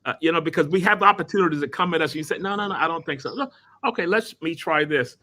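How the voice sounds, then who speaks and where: A man's voice: fairly high pitch, quick pace, bright, forceful tone. A man hosting an interview, encouraging his guest with frequent short backchannels. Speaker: host. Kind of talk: interview conversation.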